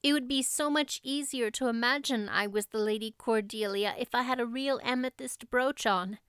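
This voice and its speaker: A woman's voice: fairly high pitch, moderate pace, fairly bright tone, clear, resonant tone, no room sound, studio quality, lightly acted. A woman voicing an imaginative, earnest young girl with a theatrical, pleading manner, reading from a storybook. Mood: solemn, dreamy.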